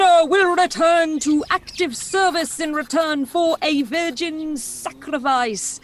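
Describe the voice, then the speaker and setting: A woman's voice: sits high, a bit bright, heavily acted. A woman playing a cheeky, theatrical young damsel in a stage play, telling her tale in a gossipy, confiding way. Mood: gossipy, amused. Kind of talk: stage play storytelling monologue.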